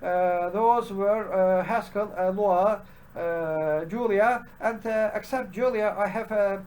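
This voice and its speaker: Male channel host, Turkish accent, fairly high voice, halting unscripted delivery full of "uh" pauses. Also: darkish tone. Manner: halting, heavy with "uh" fillers and restarts. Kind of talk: unscripted solo commentary.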